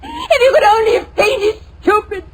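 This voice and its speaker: A woman's voice: very high-pitched, very animated, moderate pace, a little mellow. An actress playing a deranged young woman murderer in a 1940s radio drama, laughing and sobbing wildly. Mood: manic. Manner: shrieking laughter, sobbing, wild. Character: young woman killer gone mad, gleeful. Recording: noisy old radio broadcast.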